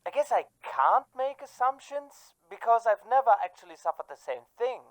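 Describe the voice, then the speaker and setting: A woman playing an energetic, folksy male caller. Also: on the high side, normal speed. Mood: opinionated. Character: brash, energetic, folksy man phoning radio show. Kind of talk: radio drama acting.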